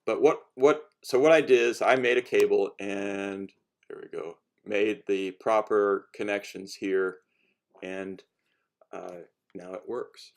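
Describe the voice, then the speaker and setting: Low, gravelly man giving a casual demo. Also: fairly even intonation, slow. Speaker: hobbyist cosplay maker giving a demonstration. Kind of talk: casual unscripted how-to explanation.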